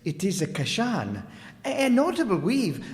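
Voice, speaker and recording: An actor playing a thin, impeccably mannered rug dealer, formal and fawning, in a radio detective drama. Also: male voice, high voice, huge pitch swings, normal speed, a little mellow. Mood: eager, fawning. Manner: unctuous, formal, deferential. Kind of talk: old-time radio detective drama acting. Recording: reverberant hall recording.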